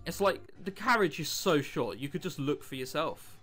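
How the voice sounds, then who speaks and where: A man's voice: a little high, expressive, moderate pace, fairly bright tone, faint music behind. A man giving casual, sarcastic let's play commentary, joking and swearing as he plays and reads a visual novel. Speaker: video game let's player. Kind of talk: casual gameplay commentary, reading visual novel text aloud.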